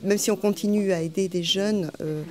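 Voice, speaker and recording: A woman reflecting in an interview, measured and pausing to find her words. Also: mid-range voice, steady tone.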